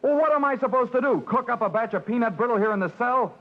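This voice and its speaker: Jittery young convict, quick and lively, dull old radio sound. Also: male voice, very high-pitched. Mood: anxious. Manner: anxious, pleading. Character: scared young male inmate, nervous and pleading. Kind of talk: 1940s radio acting.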